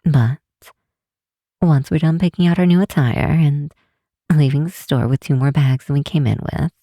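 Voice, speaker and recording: A woman playing an affectionate, teasing vampire scientist in an intimate ASMR roleplay, speaking softly and unhurriedly to a close friend. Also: sits low, no echo. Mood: playful, teasing. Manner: soft, unhurried, teasing, sometimes breathy near-whisper. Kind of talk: intimate ASMR roleplay, one side of a call.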